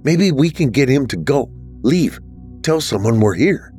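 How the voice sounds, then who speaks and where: A man voicing a frightened young woman ghost, shaken and pleading, in a raised, slower voice. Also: medium pitch, big pitch swings, a little mellow, soft background music, voice pushed up. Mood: hopeful.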